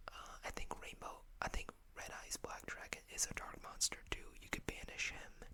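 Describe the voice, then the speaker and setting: A woman whispering in a breathy, unvoiced voice, hosting a casual, gentle ASMR show-and-tell. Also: rough recording. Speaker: ASMR video host.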